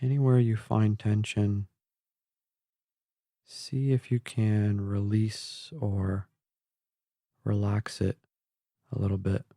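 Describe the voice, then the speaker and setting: A man guiding a meditation in a calm, gentle, measured way, leaving long pauses between phrases. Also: lowish voice, no echo, studio quality. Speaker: guided meditation instructor. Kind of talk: slow guided meditation narration. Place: close, dry voice-only recording.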